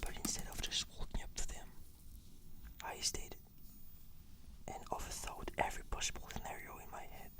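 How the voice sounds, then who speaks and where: A woman plays a therapy patient in an ASMR roleplay. She whispers throughout, giving scripted answers in a measured, articulate way. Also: pushed and bright, dry recording.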